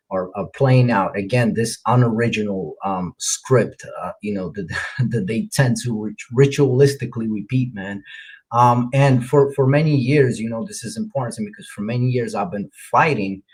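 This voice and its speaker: A man's voice: fairly low pitch, a little mellow, husky, low-quality recording. A man from Ukraine hosting a podcast, talking in a rambling, casual way with many fillers and restarts. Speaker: podcast host. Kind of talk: casual interview chat.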